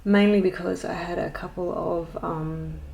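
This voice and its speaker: Gravelly low-voiced woman, rambling chat. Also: steady tone, a little slow, a little mellow, slight background noise. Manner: rambling and hesitant, many ums and restarts; self-deprecating, chatty asides. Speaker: colouring channel host. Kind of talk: casual show-and-tell vlog.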